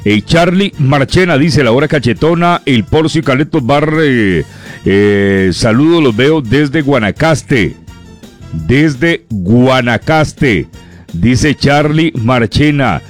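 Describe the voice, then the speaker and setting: A man hosting a radio comedy show, chatting in a lively way and reading out listener greetings. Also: mid-range voice, slow, faint music behind. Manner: lively and chatty, breaks off and repeats words.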